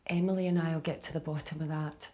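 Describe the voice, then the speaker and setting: A woman's voice: low voice, steady tone, fast talker, warm tone, lightly acted. An actress playing a businesslike, worried woman lab colleague in a sci-fi film, speaking in a subdued, serious way. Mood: grave, urgent. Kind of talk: naturalistic film acting.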